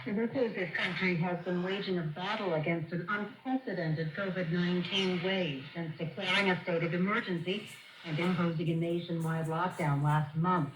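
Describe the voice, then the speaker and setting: A woman delivers a scripted news report as a radio correspondent, formal and measured. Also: on the low side, fairly even intonation. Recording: noisy shortwave radio broadcast, echoey. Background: shortwave static and noise.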